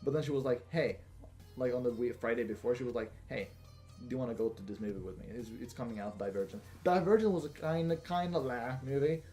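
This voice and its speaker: A young man hosting a casual Q&A video, talking in a flat, monotone way with lots of fillers and restarts. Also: medium pitch, fast talker, soft background music. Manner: monotone, rambling with many fillers. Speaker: gaming channel host. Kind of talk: casual Q&A monologue.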